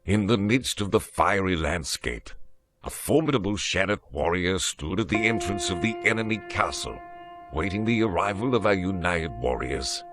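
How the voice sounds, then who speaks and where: A man's voice: sits low, animated, moderate pace, a bit bright. A man delivering scripted narration for a fantasy game story. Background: music.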